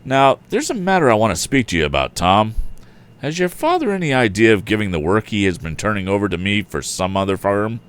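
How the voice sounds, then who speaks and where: A man's voice: on the low side, big pitch swings, brisk, clean tone. A man voicing an apologetic, chatty machine shop owner in a storybook, explaining himself in a folksy way. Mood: confiding.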